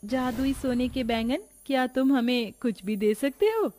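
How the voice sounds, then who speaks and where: A woman playing a poor, kind-hearted old village grandmother in a cartoon story, with gentle, drawn-out speech. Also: high voice, lively intonation, soft background music. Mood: amazed.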